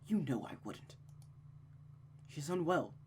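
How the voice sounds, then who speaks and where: A woman's voice: sits low, very animated, slow, darkish tone, heavily acted. Woman reading a guarded nobleman, weary, stoic, subdued, visual novel drama, faint background noise. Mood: grave, worried. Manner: subdued, clipped, evasive.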